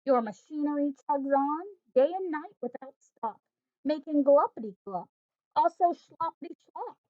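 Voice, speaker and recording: A woman's voice: sits high, animated, measured pace, dry recording, pitched above the performer's own voice. A woman voicing a small, stern forest creature who scolds in emphatic rhyming lines. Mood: scolding. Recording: dull, dry home recording.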